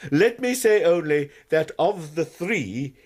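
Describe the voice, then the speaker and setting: A man's voice: fairly high pitch, expressive, slow pace, a little mellow. A man playing an anxious, courteous university tutor in a radio drama, speaking hesitantly and precisely with an English accent. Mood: reluctant, disapproving.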